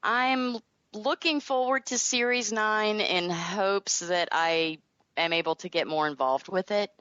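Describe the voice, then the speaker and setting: A woman's voice: mid-range voice, unhurried, fairly bright tone, clean tone, no room sound, highs cut off. A woman from the American South chatting casually as an interview guest, thoughtfully searching for her words.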